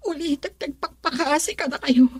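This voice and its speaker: A woman's voice: sits high, moderate pace, darkish tone, dry recording, studio quality, close to an everyday voice. A Filipino woman playing a young daughter in love in a radio drama, earnest, pleading and defiant.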